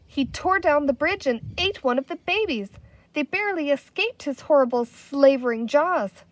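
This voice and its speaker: A woman's voice: high voice, animated, moderate pace, no echo, voice pushed up. A woman reading a children's storybook as a small, wizened, cowardly male creature chief, frantic and whimpering. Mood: horrified.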